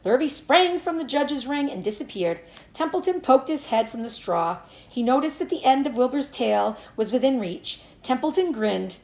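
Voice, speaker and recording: Female read-aloud teacher for children's fiction, expressive and swooping, slightly high voice, roomy recording. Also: normal speed. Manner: animated storytelling with acted dialogue. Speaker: teacher reading aloud to pupils.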